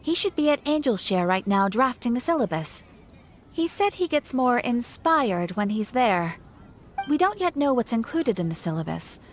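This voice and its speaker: High-voiced formal guild receptionist. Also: female voice, big pitch swings, normal speed, slight background noise. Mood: helpful, formal. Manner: polite, formal, helpful. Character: courteous, formal adventurers' guild receptionist woman. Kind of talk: scripted video game character acting.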